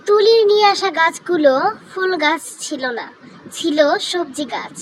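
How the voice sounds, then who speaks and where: A woman's voice: very high-pitched, expressive, measured pace, low-quality recording. A woman reading scripted narration for a children's cartoon story.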